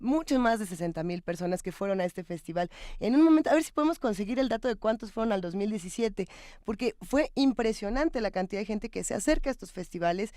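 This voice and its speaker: A woman's voice: mid-range voice, lively intonation, fast, darkish tone, no echo, clean studio sound. A woman with a Mexican accent co-hosting a magazine show, giving discursive, conversational commentary and often checking for agreement with tag questions. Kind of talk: conversational on-air music commentary.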